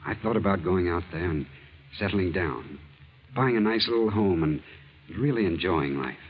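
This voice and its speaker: Deep-voiced earnest bachelor. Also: male voice, expressive, moderate pace. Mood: hopeful. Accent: American. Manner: earnest, hesitant. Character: earnest, hesitant bachelor office artist, shyly hopeful suitor. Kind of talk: 1940s radio acting. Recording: muffled, noisy old radio recording.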